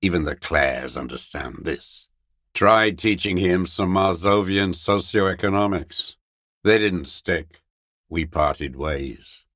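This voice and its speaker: Game role: aged revolutionary holdout, deep-voiced, very slow delivery, scornful, weary and unrepentant. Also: male voice, expressive, fairly bright tone, no echo, studio quality, full character voice. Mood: bitter recounting. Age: older. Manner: contemptuous, ideological, slow and weary. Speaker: video game character actor. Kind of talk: dramatic game voice acting, bitter monologue.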